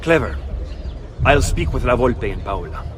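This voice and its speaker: An actor playing a shrewd, terse ally in a video game, wry in manner, with an Italian accent. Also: male voice, medium pitch, very animated, normal speed, a little hiss or hum, close to an everyday voice. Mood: wry, serious. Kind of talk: video game cutscene acting.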